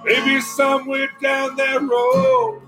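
Deep voiced country guy, talks slow and rambly, guitar playing in the background. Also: sing-song. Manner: rambling, restarts, quick bursts of talk. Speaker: country singer guest. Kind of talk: singing then casual chat.

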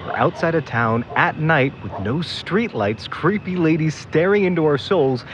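A man's voice: a little high, lively intonation, moderate pace, dull audio, background noise, natural voice. An actor playing a wary, sarcastic young man in a naturalistic supernatural mystery audio drama. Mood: uneasy. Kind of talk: naturalistic audio drama acting with recorded narration asides.

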